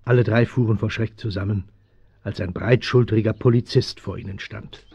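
A man's voice: fairly low pitch, steady tone, moderate pace, vocal fry, a little mellow, slightly rough. A man narrating a youth adventure radio play in a scripted storybook style. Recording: dull vintage audio-drama recording, some music.

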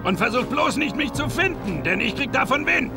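A man's voice: very high voice, animated, normal speed. A man voicing a bumbling, boastful alien villain in a game cutscene, blustering and stumbling over his words. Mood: blustering taunts. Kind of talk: animated game cutscene acting. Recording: music behind.

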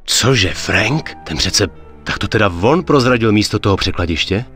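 An actor playing a calm, loyal gangster of few words in a video game cutscene, terse and restrained. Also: male voice, fairly low pitch, faint music behind. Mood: troubled disbelief. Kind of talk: dramatic game cutscene dubbing.

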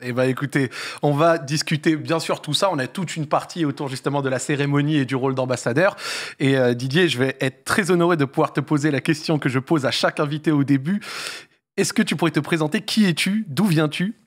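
A man's voice: a little high, a little fast. A man in his thirties hosting a studio podcast, casually asking friendly, long multi-part questions. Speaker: podcast host. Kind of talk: casual interview chat. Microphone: desk microphone.

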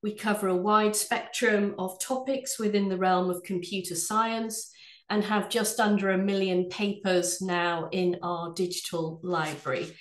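A woman from England with an English accent, head of sales, presenting a webinar from her office in a measured, polished way. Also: medium pitch, steady tone, echoey room. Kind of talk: scripted product presentation.

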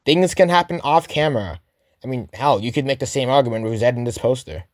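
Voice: mid-range voice, a little fast, no room sound, studio quality. A commentator giving a casual, sarcastic scripted critique of a cartoon. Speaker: host, commentator.

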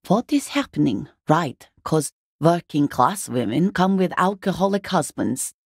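A woman playing a weary, guarded working class wife and mother in a video game, husky, plain-spoken and exasperated. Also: on the low side, no room sound, clean studio sound, heavily acted. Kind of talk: video game character dialogue.

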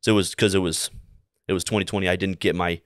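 Young American male, 20s, podcast guest. Very low, smooth voice, rambling anecdotal delivery. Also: steady tone, no echo, studio quality. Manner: halting, rambling, repeats himself while telling anecdotes. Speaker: podcast guest, air traffic controller. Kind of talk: casual interview storytelling. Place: small indoor room, seated. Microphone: close podcast mic on boom arm.

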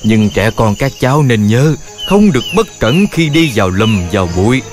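A man's voice: medium pitch, sing-song, normal speed, natural voice. A man voicing a caring grown-up who admonishes and teaches children in a cartoon, with music behind the voice. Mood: cautioning. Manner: instructive, admonishing. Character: caring adult male authority teaching children safety. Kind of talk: animated children's cartoon acting. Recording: music under the voice.

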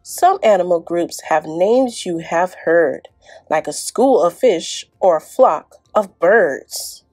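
A woman's voice: lowish voice, animated, takes it slowly, a little mellow, soft background music. A young woman hosting preschool storytime, reading aloud and chatting to small children in an encouraging, sing-song way. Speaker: library storytime host. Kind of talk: preschool storytime, picture book read aloud.

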